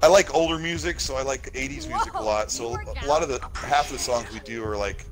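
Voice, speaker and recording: A middle-aged man, a podcast guest, chatting casually, enthusiastic and quick to laugh. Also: mid-range voice. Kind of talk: casual chat.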